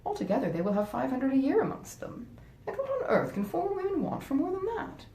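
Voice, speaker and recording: A grasping, genteel wife from a storybook classic, voiced by a woman reader, pressing her case with smug insistence. Her pitch swoops freely while the tone stays soft-edged and mellow. The room adds audible echo. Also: sing-song, fairly quick. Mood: scornful, insistent. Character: grasping, self-justifying married gentlewoman, coolly persuasive. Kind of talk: dramatized audiobook reading of a classic novel. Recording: roomy echo, faint rumbling noise.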